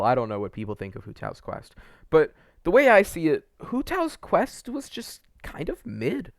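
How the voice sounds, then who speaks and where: A man's voice: medium pitch, a bit bright, dry recording. A young man with a mid-range voice talks as a game reviewer in a dry-sounding recording. His commentary is casual and opinionated. He rambles informally and leans on slang.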